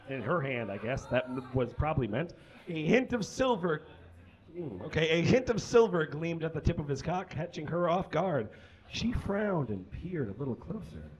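A man's voice: on the high side, a little mellow, lo-fi sound. A young man reading a story aloud on a small stage in a theatrical, mock-serious comic style with ad-libbed asides, picked up by a camera a few metres away. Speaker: live comedy reader. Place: small indoor stage with curtains.

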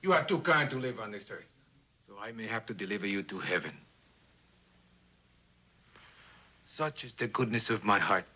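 An actor playing a cocky, taunting gunslinger bandit with a theatrical, goading manner in an old television western. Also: male voice, fairly low pitch, expressive, quick pace, very noisy, lightly acted. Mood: menacing mock kindness.

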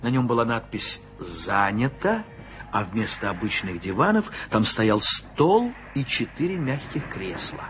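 A man's voice: medium pitch, big pitch swings, a little slow. Wry male narrator of a radio play. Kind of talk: scripted storybook narration. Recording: dull old radio play recording with music.

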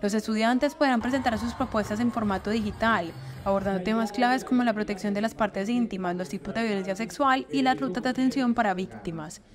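A woman's voice: on the high side, a little fast, hardly any pauses. A woman reading scripted news narration as a voice-over.

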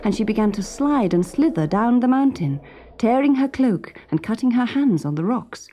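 A woman with a British accent reading a children's story as an expressive narrator. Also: fairly high pitch, normal speed.

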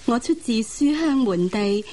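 A woman's voice: fairly high pitch, animated, unhurried, dark tone. A woman acting a courteous, dignified official's wife in a Cantonese radio drama, formal and composed, with a Hong Kong accent. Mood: composed, knowing. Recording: hissy radio drama rebroadcast.

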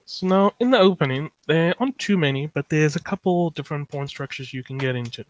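A man's voice: on the high side, animated, fairly quick, slight background noise, low-quality recording. A man from South Africa giving a live online chess lecture, thinking aloud with many fillers and reading out chat messages.

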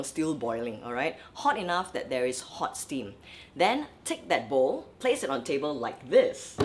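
A young woman vocal coach, from Malaysia, giving lively, chatty tips in a vlog while her voice is dry and scratchy. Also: very deep voice. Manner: lively, chatty, many fillers. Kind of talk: instructional vlog.

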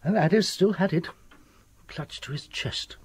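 Husky, haunted old-school doctor. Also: male voice, sits high, darkish tone, no room sound, exaggerated voice. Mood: grim, ominous. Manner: halting, theatrical storytelling. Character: haunted, desperate older doctor, sardonic and fearful. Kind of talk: radio drama acting.

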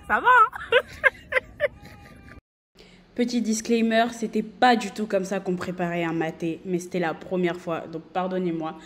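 A woman's voice: fairly low pitch, expressive, measured pace, pauses often, a bit bright. A young woman talking casually to a phone camera outdoors, chatty and playful. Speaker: travel vlogger. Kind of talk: casual vlog talk to camera. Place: outdoors in nature. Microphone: phone at arm's length.

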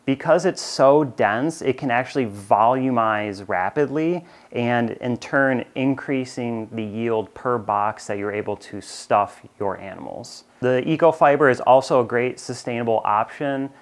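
A man in his thirties with an American accent, presenting a clear, steady explainer in a bright studio on a lapel mic. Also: medium pitch, unhurried, few pauses. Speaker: company presenter. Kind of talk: product explainer. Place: bright studio with shelves of plush toys.

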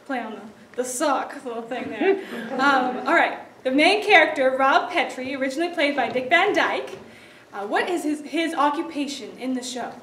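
A woman's voice: sits high, moderate pace, fairly bright tone. A young woman giving an informal, chatty introduction to a show as its director, with a giggly manner. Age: young adult. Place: theatre stage, indoors. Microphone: distant audience camera. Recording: echoey theatre from far off, noisy.

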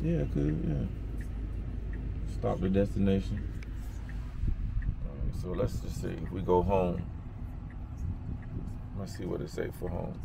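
Deep-voiced man chatting in a car. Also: takes it slowly, vocal fry, pauses often. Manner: folksy, laid-back slang. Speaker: vlogger. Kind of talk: casual chat while driving. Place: inside a car on the road. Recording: car and road noise behind.

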